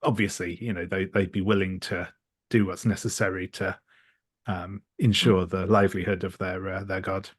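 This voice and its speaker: A man thinking aloud haltingly and tentatively as a player in a tabletop roleplaying game. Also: low voice, flat delivery, slightly rough, no room sound, clean studio sound. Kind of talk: casual collaborative game brainstorming.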